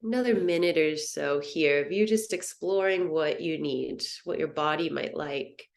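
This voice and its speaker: Gentle low-voiced woman guiding meditation. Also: gravelly. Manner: soothing, gentle, unhurried instruction. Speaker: meditation teacher. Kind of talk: guided yoga nidra meditation.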